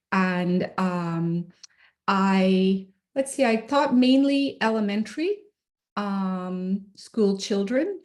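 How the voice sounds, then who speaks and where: A middle-aged woman walks parents through slides on a video call, talking in an easy, informal way and pausing on ums. Her pitch sits in the middle of the range for a woman. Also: darkish tone. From Ireland. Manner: friendly, informal presenting with frequent ums. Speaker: special education administrator. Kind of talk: presenting slides at an online parent meeting.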